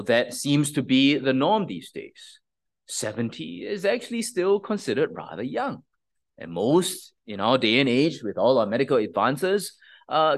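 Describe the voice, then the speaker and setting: A man preaching a sermon in a measured, explanatory way, posing rhetorical questions to the congregation. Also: mid-range voice. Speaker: pastor.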